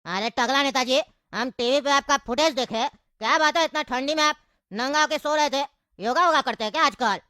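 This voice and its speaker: A dry, close recording of a cheeky nephew in a cartoon, high-pitched and nosy. He teases with mock-earnest questions. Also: normal speed, bright, forceful tone, dry recording. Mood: teasing. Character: nosy, teasing male nephew, cheeky prankish caller. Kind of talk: Hindi comedy cartoon dialogue.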